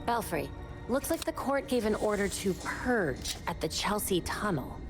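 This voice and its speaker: Guarded young detective woman over loud music. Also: mid-range voice, sing-song, measured pace. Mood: businesslike. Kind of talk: naturalistic video game voice acting.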